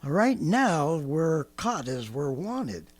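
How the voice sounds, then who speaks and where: A man's voice: fairly high pitch, sing-song, extremely slow, full character voice. An actor playing a bitter, territorial militiaman survivor with a blunt, agitated, folksy manner in a radio drama. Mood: bitter, defeated. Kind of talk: 1930s-style radio drama acting.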